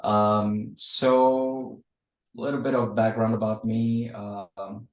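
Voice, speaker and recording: A man in his thirties with an Australian accent, a guest answering questions over a video call in a measured way with frequent fillers. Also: fairly low pitch, level tone, dull audio. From Australia and India. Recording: roomy, distant video call audio.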